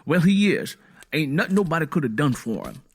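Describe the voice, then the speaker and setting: A man's voice: a little high, big pitch swings, brisk, slightly rough, pitched above the performer's own voice, exaggerated voice. A man voicing a stern, embittered American Southern man with a blunt, defiant rural way of talking, in a narrated story.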